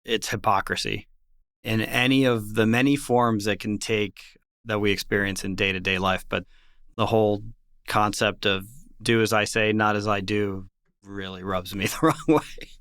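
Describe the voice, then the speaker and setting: Smooth, low-voiced man, reflective guest. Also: flat delivery, moderate pace, fairly bright tone, no room sound, studio quality. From Ecuador. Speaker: guest, risk and portfolio manager. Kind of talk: podcast interview answers.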